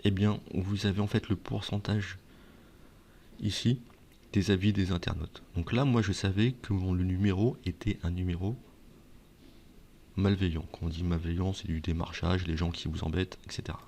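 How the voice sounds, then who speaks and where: A man's voice: deep voice, steady tone, normal speed, a little mellow. A man presenting advice to viewers in a conversational explainer style, often posing questions and answering them himself. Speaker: video presenter giving advice. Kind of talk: explainer talk to viewers, semi-scripted.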